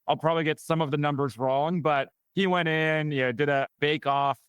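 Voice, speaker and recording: A man's voice: on the high side, steady tone, normal speed, a bit bright, clean tone, no room sound. A man hosting a business podcast, asking questions and telling stories in a friendly way. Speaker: podcast host. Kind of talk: podcast interview.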